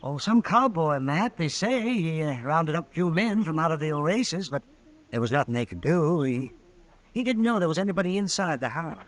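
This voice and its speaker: An actor playing a kindly but gruff frontier town doctor in a radio western, hesitant and plain-spoken, with an American accent. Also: male voice, on the high side, animated, moderate pace, natural voice. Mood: subdued, evasive. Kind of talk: old-time radio western acting.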